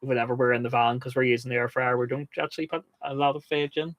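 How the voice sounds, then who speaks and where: A man's voice: mid-range voice, level tone, fast, fairly bright tone, dry recording. A man with a slight lisp, speaking through his teeth in easy livestream chat. His voice sits in a middle range and is recorded close and dry, with no room around it. He laughs readily as he chats. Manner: chatty, laughs often. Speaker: co-host.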